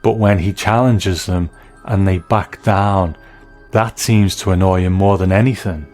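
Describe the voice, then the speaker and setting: A man giving conversational review commentary, reflective and measured, as narrator. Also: low voice, fairly even intonation, a little mellow, faint music behind.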